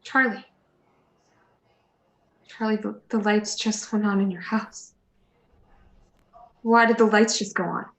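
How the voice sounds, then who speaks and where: A woman's voice: mid-range voice, steady tone, slow, pauses often. A woman playing an alarmed, accusing neighbour in a radio play, speaking urgently and insistently in an echoey room. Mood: uneasy. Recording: roomy, echoey home recording.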